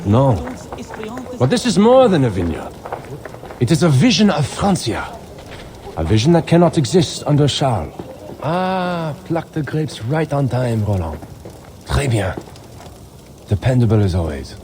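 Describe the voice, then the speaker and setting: A man's voice: mid-range voice, sing-song, a little slow, pauses often. An actor playing a proud French nobleman with a French accent, expansive and rhetorical, in a game cutscene over outdoor ambient noise. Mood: pleased. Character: proud, visionary French nobleman and vineyard owner. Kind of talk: dramatic game cutscene acting.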